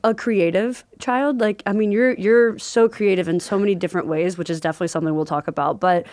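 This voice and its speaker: A woman's voice: medium pitch, fairly even intonation, fast, dry recording, studio quality. A woman hosting a podcast interview, chatty and affirming, asking open questions with plenty of fillers. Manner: chatty, warm-hearted, asks questions with lots of fillers. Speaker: podcast host. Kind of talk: casual conversational interview.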